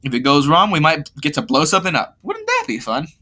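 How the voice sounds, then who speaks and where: A keen, reckless young inventor in a story as acted by its reader. The delivery is fast and animated, a schoolboy who delights in risk. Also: mid-range voice, full character voice. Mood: gleefully reckless.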